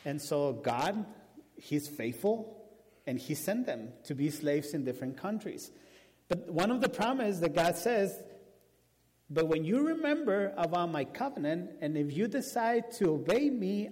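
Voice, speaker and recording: A man with a Spanish accent, whose first language is Spanish, preaching a sermon and telling a story with animated restarts. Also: high-pitched, normal speed. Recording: long hall reverb.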